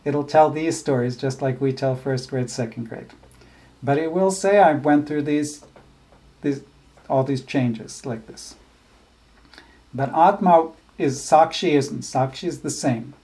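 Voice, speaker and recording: A man teaching a scripture class, explaining ideas in a thoughtful, halting way with frequent restarts. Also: mid-range voice, warm tone. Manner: chants verses slowly. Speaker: spiritual teacher.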